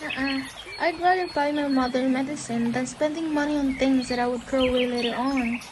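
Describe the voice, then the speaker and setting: A woman acting a gentle, earnest young daughter who loves nature, in a student radio drama. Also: high-pitched, a little fast, noisy background, natural voice. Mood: defensive. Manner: earnest, theatrical, tender. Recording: background music under the voice.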